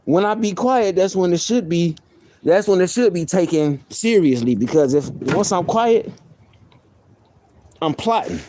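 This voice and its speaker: A man with a soft voice, venting on a live stream in a heated, profane way, often repeating himself. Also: sits high, expressive, unhurried, slight background noise. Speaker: livestreamer.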